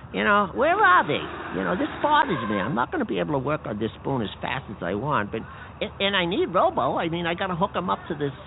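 A stammering, breathless bean who runs a garage, played by a man, talks in a folksy, ungrammatical way. His voice sits high and swings wildly. The sound is thin and phone-like, with a faint hiss. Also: gravelly. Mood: grumbling. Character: frantic male bean garage boss, just abducted. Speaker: garage owner.

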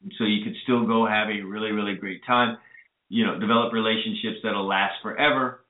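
A man's voice: fairly low pitch, steady tone, fairly quick. A man hosting a call-in radio show, earnestly advising listeners and then bringing on a guest. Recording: echoey, phone-like lo-fi audio.

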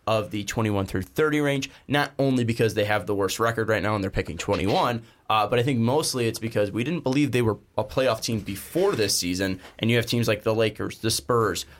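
A man hosting a casual sports podcast, talking with frequent fillers and pauses. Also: on the low side. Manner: relaxed, hesitant, frequent fillers. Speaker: podcast host.

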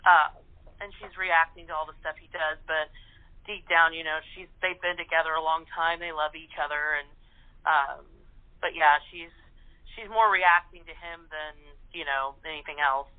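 A woman chatting informally and haltingly as an interview guest. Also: low voice, fairly even intonation. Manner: informal, halting, peppered with "you know". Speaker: guest voice actor in radio plays. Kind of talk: casual interview chat. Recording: phone-like, band-limited line.

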